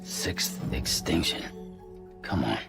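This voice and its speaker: A man playing a gruff, sceptical hero in a video game cutscene, speaking in a terse, blunt, understated way. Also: slow, close to an everyday voice. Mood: sceptical, puzzled. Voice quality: gravelly, very deep. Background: music.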